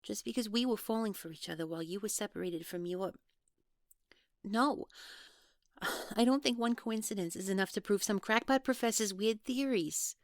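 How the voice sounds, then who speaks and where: A woman playing a guarded, awkward young woman in an audio drama, speaking naturally in a halting, hesitant way. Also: medium pitch, no echo, studio quality, lightly acted. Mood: agitated, defensive. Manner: hesitant, halting, trailing off, nervous laughs. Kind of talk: naturalistic one-sided audio roleplay acting.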